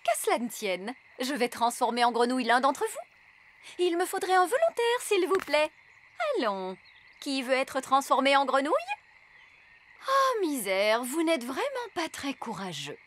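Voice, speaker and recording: A woman's voice: high-pitched, sing-song, measured pace, a bit bright, slight background noise, heavily acted. A woman playing a bossy, self-assured fairy nanny in a children's cartoon, with an imperious, theatrical manner.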